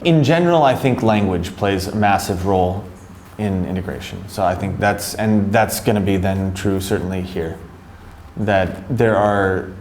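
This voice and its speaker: Low-voiced hesitant man in an echoey room. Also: normal speed, darkish tone. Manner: hesitant, many restarts. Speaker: expat interviewee. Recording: echoey room with faint noise.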